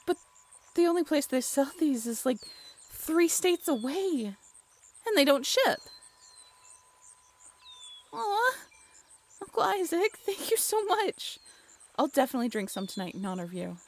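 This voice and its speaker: A woman acting a bashful, affectionate young writer on a date in an intimate audio roleplay, halting and playfully teasing. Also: sits high, sing-song, slight background noise, exaggerated voice. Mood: delighted, grateful.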